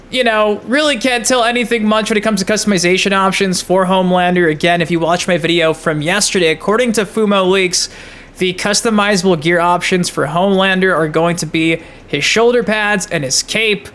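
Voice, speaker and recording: Excitable dude hosting gaming channel, high voice, slangy casual hype. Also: male voice. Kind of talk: casual hyped gaming news commentary.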